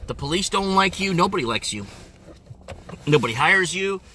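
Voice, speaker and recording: A middle-aged man, rambling casually to viewers in an animated way and repeating his questions emphatically. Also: sits high, normal speed. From Ontario, Canada. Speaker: vlogger. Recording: engine and road noise in car.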